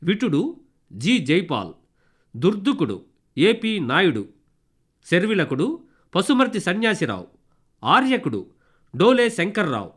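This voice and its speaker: A man's voice: high-pitched, sing-song, measured pace, long pauses, a bit bright, slightly rough. A man reading formal scripted credits as a radio announcer.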